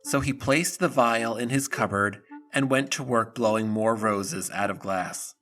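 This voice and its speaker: A male narrator with a bright, forceful voice at a medium pitch. He tells a storybook tale in a measured, theatrical way, with faint music behind him. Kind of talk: scripted storybook narration for audio drama.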